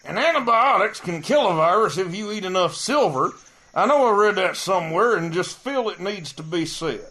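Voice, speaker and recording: A man's voice: sits high, lively intonation, moderate pace, sharp tone. A man playing an argumentative, skeptical know-it-all soldier in a comedic audio drama.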